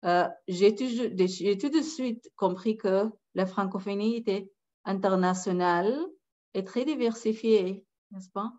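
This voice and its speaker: A woman's voice: mid-range voice, a little slow, mellow, highs cut off. A middle-aged woman from Sudan speaking as a guest on a video call, emphatic and repeating her key phrases. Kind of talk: conference talk. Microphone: webcam on a video call.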